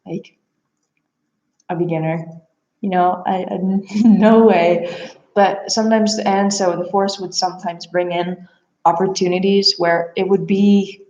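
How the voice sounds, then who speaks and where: A woman's voice: on the low side, steady tone, a little slow, darkish tone. A woman talking casually and earnestly about herself in a rambling, introspective personal monologue. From Bulgaria, adopted from there. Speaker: aspiring therapist and psychic reader. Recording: echoey room, slightly dull sound.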